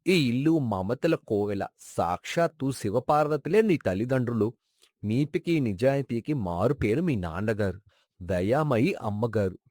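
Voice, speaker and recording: A man's voice: medium pitch, darkish tone, no room sound, studio quality, lightly acted. A man playing a sincere, sentimental colleague and neighbour of a late father, earnestly reminiscing in a moral story. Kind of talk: dramatized moral story acting.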